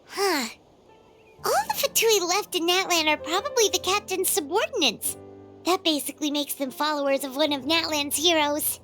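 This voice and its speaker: A tiny, chirpy fairy companion. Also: female voice, very high voice, faint music behind. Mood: curious. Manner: lively, quick to comment. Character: small floating fairy companion, chatty, curious. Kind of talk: video game voice acting.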